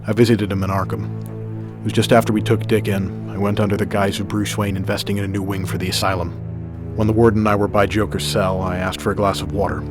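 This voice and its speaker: Guy playing grave low-voiced masked hero, serious, radio play, music under it. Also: level tone, fast, close to an everyday voice. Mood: somber. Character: grave, brooding male vigilante hero. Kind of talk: radio drama acting.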